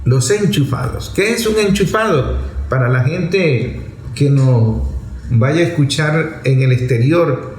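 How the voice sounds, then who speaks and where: A man's voice: medium pitch, animated, slow, darkish tone. A man with a Venezuelan accent giving off-the-cuff political commentary in a didactic way, repeating phrases and posing rhetorical questions. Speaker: political commentator addressing an online audience. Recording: roomy echo with faint background noise.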